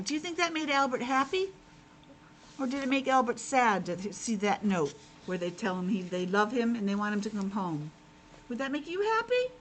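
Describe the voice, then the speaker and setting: A woman teacher reading and explaining a storybook to young children in an expressive, child-directed way. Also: on the high side, slight background noise, rough recording. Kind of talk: storybook narration with simple explanations.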